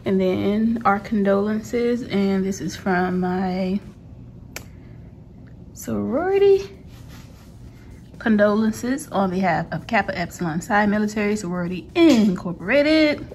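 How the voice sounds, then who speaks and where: A woman's voice: medium pitch, lively intonation, a little slow, a little mellow, slight background noise. A woman in her thirties chatting informally in a casual home vlog, recorded indoors on a handheld phone. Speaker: vlogger.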